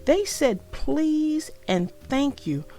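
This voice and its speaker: A woman's voice: high voice, big pitch swings, drawn-out words, mellow, faint music behind. A woman narrating a children's storybook as a read-aloud for young listeners.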